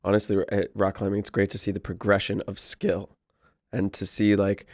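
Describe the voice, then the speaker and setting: Low-pitched man hosting casual chat. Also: completely flat delivery, a little fast, darkish tone. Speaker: podcast host, interviewer. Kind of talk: casual conversational interviewing.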